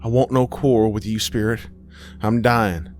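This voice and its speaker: A man's voice: on the low side, steady tone, normal speed, a little mellow, faint music behind, voice pushed up, lightly acted. Man voicing a weary, pleading dying woman. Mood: frightened defiance. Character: dying, exhausted, frightened but defiant Southern woman.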